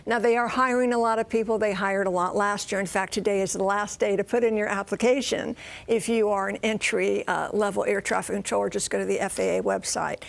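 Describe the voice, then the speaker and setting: A woman's voice: medium pitch, steady tone, fairly quick, vocal fry. An American woman working as a news correspondent, explaining a story live in an informal, explanatory way. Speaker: transportation correspondent.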